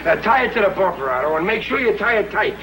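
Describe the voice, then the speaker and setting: A man's voice: high-pitched, quick pace. An actor playing a bumbling, good-hearted working-class man in a 1950s sitcom, talking in plain ungrammatical speech. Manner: ungrammatical, excitable everyday talk. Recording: noisy old TV soundtrack.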